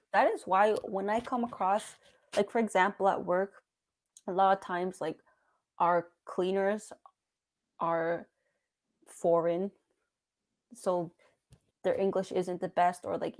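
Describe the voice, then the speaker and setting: A woman's voice: on the low side, fairly even intonation, a little slow, long pauses, a little mellow. A woman co-hosting a casual book club discussion over a video call, speaking in an encouraging, conversational way.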